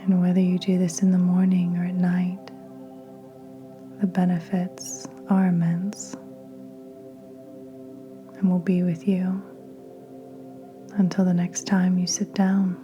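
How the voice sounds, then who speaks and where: A woman guiding a meditation in a soft, breathy near-whisper, gentle and soothing, with long unhurried pauses. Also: lowish voice, monotone. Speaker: podcast host and meditation guide. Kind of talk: guided meditation narration. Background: soft meditation music with singing bowls.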